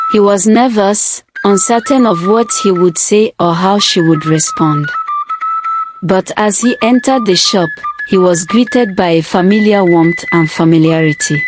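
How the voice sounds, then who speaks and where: Female storyteller, mid-pitched voice, scripted folktale narration, measured and formal, clear background music. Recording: music playing behind the voice.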